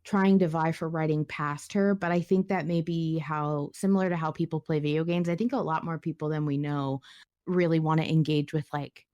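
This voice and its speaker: A woman's voice: low-pitched, fairly even intonation, moderate pace, darkish tone. A woman hosting a casual podcast discussion, thinking aloud in a conversational way and sometimes reading out comments. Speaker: podcast host.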